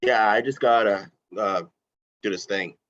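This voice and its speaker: A man's voice: lowish voice, a little slow, fairly bright tone. A man reading casual character lines in an amateur table read, on a dull lo-fi microphone. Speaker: cast reader.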